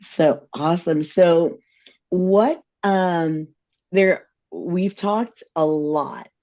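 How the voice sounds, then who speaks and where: Low, mellow woman co-host. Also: drawn-out words, highs cut off. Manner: chatty, rambling asides. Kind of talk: casual interview hosting.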